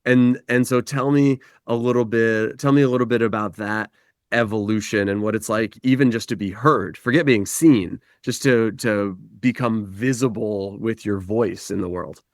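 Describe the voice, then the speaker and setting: A man who is a guest on a podcast, speaking reflectively and reaching for images, his pace moving between slow and quick. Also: lowish voice, no echo, studio quality. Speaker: podcast guest, life guide. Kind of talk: reflective conversation.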